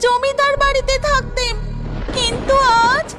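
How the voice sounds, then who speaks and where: A woman's voice: extremely high pitch, level tone, measured pace, over loud music. A woman voicing a sorrowful, aggrieved young noble housewife in a cartoon story, plaintive, with a Bengali accent. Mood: tearful, aggrieved. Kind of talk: melodramatic cartoon story acting.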